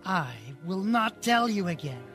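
Man playing a high-voiced, menacing storybook huntsman. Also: sing-song, measured pace, fairly bright tone, faint music behind, full character voice. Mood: cold menace. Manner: threatening, commanding. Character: menacing, impatient huntsman, cruel henchman of a queen. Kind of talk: radio play acting.

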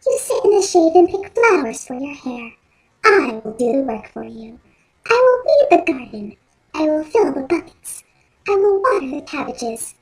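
A performer voicing a small magic doll, a gentle and devoted helper in a fairy tale audio drama, speaking soothingly. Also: high voice, heavily acted, poor audio.

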